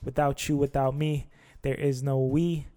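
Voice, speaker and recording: A man introduces his podcast episode in an easygoing, meandering way, his voice dark and mellow. He keeps to a narrow, steady pitch while a long reverb trails behind him. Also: measured pace. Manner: relaxed, rambling with frequent filler words. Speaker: podcast host. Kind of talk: casual spoken show intro.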